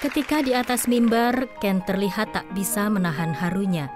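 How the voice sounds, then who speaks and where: A woman's voice: medium pitch, moderate pace, a crowd in the background. Woman narrating over prominent music. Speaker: narrator of an audio drama. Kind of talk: scripted storytelling narration. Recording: loud music behind the voice.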